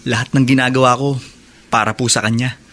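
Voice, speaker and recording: A respectful, hopeful Filipino prisoner in a radio drama , acted by a man. He speaks in a medium-pitched voice with polite, earnest devotion, like a dutiful student addressing an elder. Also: flat delivery, fairly quick, a bit bright, lightly acted.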